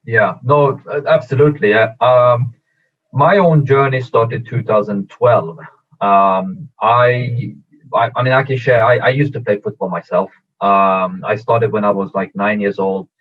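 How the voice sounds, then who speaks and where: Man on a tinny, phone-like line. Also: lowish voice. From Iran, raised in Sweden. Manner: measured, with frequent fillers and restarts. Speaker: football agent. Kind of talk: interview answers.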